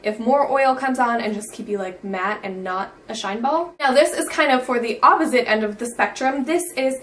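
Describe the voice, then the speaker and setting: A woman's voice: fairly high pitch, normal speed, fairly bright tone, far from the mic. A teenage girl in a casual beauty vlog, chatty and bubbly, saying "like" all the time. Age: teens. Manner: bubbly, says "like" constantly. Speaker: beauty vlogger.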